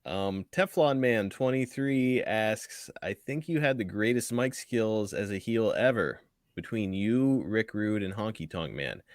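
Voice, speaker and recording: A man with a low voice co-hosts a casual podcast and reads listener questions aloud. He laughs easily, and the sound is dry and close. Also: expressive, unhurried, dry recording.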